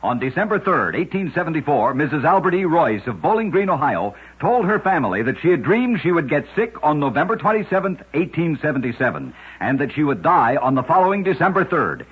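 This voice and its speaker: A dull, lo-fi old radio recording carries a man's markedly husky voice, pitched on the high side. He narrates short scripted tales as the host, measured and emphatic. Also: very animated.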